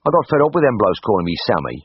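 A man's voice: lowish voice, big pitch swings, rapid-fire, slightly rough, no echo, highs cut off, voice pushed up. A man voicing a nervous young male hospital patient with a Birmingham accent, in an audiobook. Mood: confiding. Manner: confiding, worried, later elated. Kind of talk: audiobook character voice.

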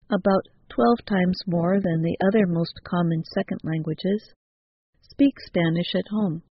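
A woman reading a scripted news report in a measured, even delivery. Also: medium pitch, husky, no room sound, muffled recording. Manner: measured, evenly paced delivery. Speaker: news reader.

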